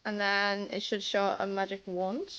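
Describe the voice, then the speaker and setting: A woman's voice: mid-range voice, little pitch movement, unhurried, a bit bright, highs cut off. A woman streaming live, patiently explaining a video game step by step to a newer player. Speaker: streamer coaching a new player.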